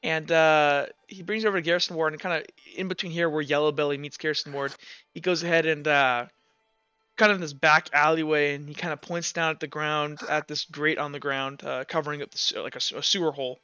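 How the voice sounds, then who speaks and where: A man narrating a tabletop roleplaying game as game master, improvising and often halting to search for words. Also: on the high side, fairly even intonation, bright, forceful tone, clear, resonant tone, no room sound, highs cut off, faint music behind.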